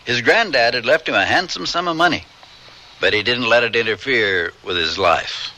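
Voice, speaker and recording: A man's voice: mid-range voice, animated, moderate pace, pushed and bright, rough recording. A man playing the storyteller who narrates a radio western. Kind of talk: scripted radio drama narration.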